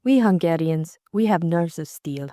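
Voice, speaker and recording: A woman's voice: on the low side, lively intonation, unhurried, dark tone, dry recording. Woman acting a grand Hungarian countess, languid, dramatic, seductive murmur, close dry audiobook sound. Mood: soft, reassuring. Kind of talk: audiobook character voice.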